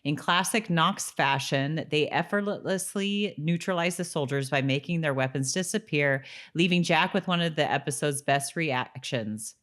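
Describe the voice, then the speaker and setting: A woman's voice: low-pitched, moderate pace, few pauses, fairly bright tone. A woman co-hosting a podcast, recapping a TV show in a casual, agreeable, conversational way. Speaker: podcast co-host. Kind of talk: casual TV-recap chat with some read-out episode summary.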